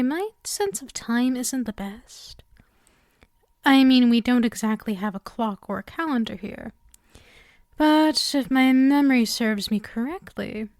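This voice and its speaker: A woman playing a lonely, coaxing female demon in an intimate ASMR roleplay, speaking softly and hesitantly, often dropping into a whisper. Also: a little high, no room sound, clean studio sound.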